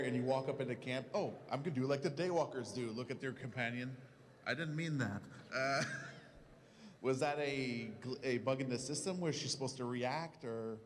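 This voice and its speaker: A man with an average-pitched voice puts a question at a live event. He is informal and jokey, and there is faint background noise from the hall. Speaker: audience member asking a question. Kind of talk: casual question at a microphone. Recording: faintly noisy convention hall.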